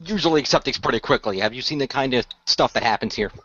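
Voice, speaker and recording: A man's voice: medium pitch, animated, fast talker, fairly bright tone, close to an everyday voice. A man playing an earnest, diplomatic ghost-hunter spokesman in an improvised role-play, often restarting his phrases. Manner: hesitant, repeats himself, chuckles. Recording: slightly dull, faintly noisy voice chat.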